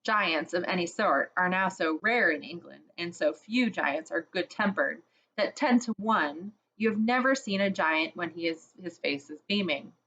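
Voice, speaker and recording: A woman reading a children's chapter book aloud, slowly and expressively, as a storyteller for kids. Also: fairly low pitch, muffled recording. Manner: slow, gentle, expressive reading.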